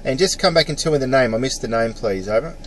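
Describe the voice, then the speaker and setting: A man's voice: mid-range voice, lively intonation, fast, heavy background noise. A middle-aged Australian man in a casual ham radio chat, matey in his phrasing and practised with phonetic call signs. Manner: mate-peppered, spells call signs phonetically. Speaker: amateur radio operator.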